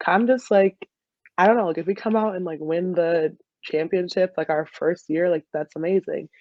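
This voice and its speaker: A woman's voice: fairly low pitch, steady tone, moderate pace, no echo, muffled recording. A young woman in a casual interview as a soccer player guest, peppering her talk with "like". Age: young adult. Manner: heavy use of "like" as she talks.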